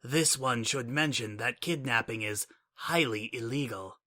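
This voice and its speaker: Brief: a man playing a cool, formal pony official in a storybook reading, measured, softer and even. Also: mid-range voice. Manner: formal, measured and legalistic, refers to self as "this one". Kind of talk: fan dramatic audiobook reading.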